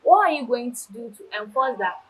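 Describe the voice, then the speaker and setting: A woman's voice: fairly high pitch, animated, moderate pace, a little hiss or hum, poor audio. Woman host pressing with questions. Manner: probing, pressing with follow-up questions. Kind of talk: questioning students on a TV show.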